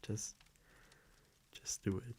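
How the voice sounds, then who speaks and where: A man playing a tender, blunt vampire boyfriend in an intimate ASMR roleplay, murmuring softly and haltingly close to the mic. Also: slight background noise, close to an everyday voice. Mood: tender, reassuring. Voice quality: breathy, gravelly murmur, often whispered. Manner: soft, halting, affectionate, with small pauses. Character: tender, blunt young male vampire boyfriend, teasingly possessive. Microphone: close microphone.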